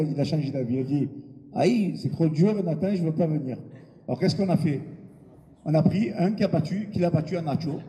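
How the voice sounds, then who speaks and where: A man with a deep, mellow voice speaks at a press conference in a large hall. The sound carries a long reverb, and a light hum of background noise sits behind him. He talks bluntly and hesitantly, filling pauses as he goes. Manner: hesitant, with frequent filler pauses; blunt and informal, with crude words. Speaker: boxing event promoter.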